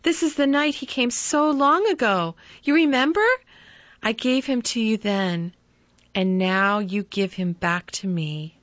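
Storybook mother, gentle and pleading, high and tender, read by a woman; dry, dull recording. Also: unhurried, no echo. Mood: grateful. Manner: soft, loving, raised high and earnest.

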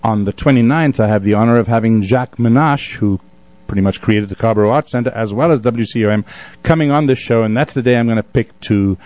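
A man's voice: mid-range voice, fast talker, darkish tone, dry recording. A man hosting a casual radio interview, chatting in a wordy, effusive storyteller's way. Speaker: radio show host. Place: radio studio.

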